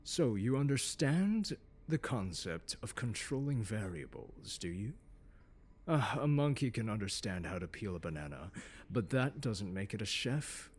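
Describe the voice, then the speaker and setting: A man acting as a haughty male genius scholar in an ASMR roleplay. His voice is fairly low and husky. He lectures his pupil with deliberate, articulate diction and open, mocking condescension. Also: very animated, full character voice. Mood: disdainful. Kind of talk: ASMR roleplay monologue, second-person lecture.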